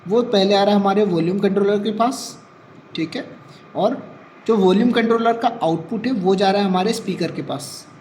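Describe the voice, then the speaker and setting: High-pitched man giving a hands-on tech tutorial. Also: normal speed, darkish tone, slight background noise. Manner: step-by-step, repeats points, mixes English terms into speech. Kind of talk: practical hands-on demonstration.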